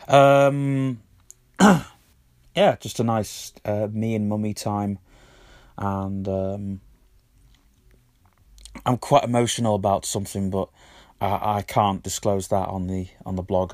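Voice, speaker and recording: A man hosting his own audio blog, his voice husky from a cold, chatting and rambling with lots of ums. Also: lowish voice, darkish tone, dry recording, studio quality. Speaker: podcast host. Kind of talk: casual solo audio blog.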